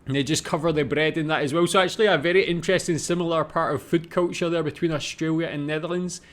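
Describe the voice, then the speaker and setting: A man's voice: fairly high pitch, fairly even intonation, fast talker, fairly bright tone. A man with a Scottish accent giving casual, musing off-the-cuff commentary as host of a reaction video. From Scotland.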